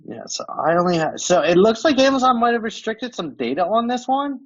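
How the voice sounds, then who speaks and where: A man's voice: very high voice, sing-song, brisk, muffled recording. A man whose accent mixes American and Romanian, talking casually as a podcast guest and walking through what he sees on screen with many fillers. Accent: American mixed with Romanian. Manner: loose explaining with many fillers like "like" and "um". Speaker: guest expert demonstrating software.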